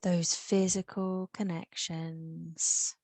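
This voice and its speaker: A woman with an English accent leading a guided meditation, soothing and unhurried, with long pauses. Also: on the low side, level tone, darkish tone, no room sound.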